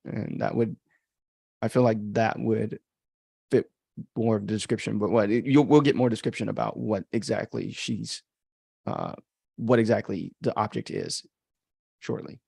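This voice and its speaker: A man who is an author and host, giving informal, halting commentary on his own book as he thinks aloud. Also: lowish voice, expressive, creaky, mellow, breathy edge, no echo, studio quality.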